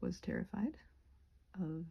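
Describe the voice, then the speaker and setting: A woman rambles casually in a mellow, husky voice with a lively, wandering pitch. She is breathy and often drops near a whisper, playfully mocking herself as she goes in a soft ASMR style. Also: slow pace, highs cut off. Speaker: ASMR creator painting a craft while rambling.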